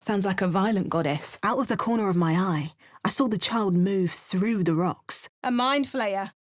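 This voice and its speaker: An actress voicing a sardonic female adventurer in a game, delivering dry, sarcastic quips and calm narration. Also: medium pitch, normal speed, dry recording, natural voice. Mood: wry. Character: sardonic adult female adventurer, wry and unflappable. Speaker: player character voice actor.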